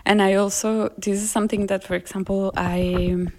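A woman's voice: mid-range voice, level tone, normal speed, slight background noise. A woman from Colombia with a Colombian Spanish accent, a native Spanish speaker, talking thoughtfully with hesitations as a musician being interviewed. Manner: thoughtful, hesitant, laughs often. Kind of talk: interview conversation.

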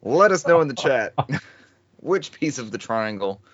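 A man's voice: medium pitch, sing-song, a little slow, muffled recording. A man hosting a gaming podcast with casual, joking banter and some swearing. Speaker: podcast host.

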